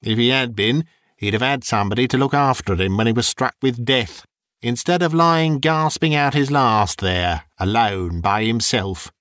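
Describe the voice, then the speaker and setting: A man voicing a coarse, greedy old charwoman who mocks the dead in brash, quick bursts. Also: medium pitch, fairly bright tone, no echo, studio quality, close to an everyday voice. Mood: scornful.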